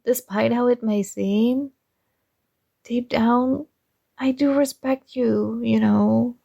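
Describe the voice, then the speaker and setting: A possessive young princess, sweetly controlling and teasing, as a woman plays her in an ASMR roleplay. Her voice sits a little high with a gravelly fry, and she takes her words very slowly. It is recorded close and dry. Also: steady tone, no echo, lo-fi sound, exaggerated voice. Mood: sweetly commanding. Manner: soft, slow, coaxing and teasing.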